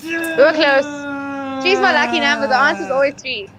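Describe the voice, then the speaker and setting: A woman's voice: high-pitched, steady tone, moderate pace, crowd noise. A woman, a guest on a live show, complaining playfully about a quiz game she lost. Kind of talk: casual chat on a live show.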